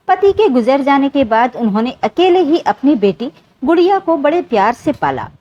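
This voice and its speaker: A woman's voice: high voice, darkish tone. A woman narrating a scripted story in an emotive, dramatic way. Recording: dull, lo-fi sound.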